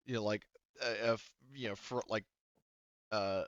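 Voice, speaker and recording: Man, game master, low smooth voice, halting improvised narration, dry slightly dull audio. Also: steady tone, dry recording. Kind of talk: narrating a tabletop roleplaying game.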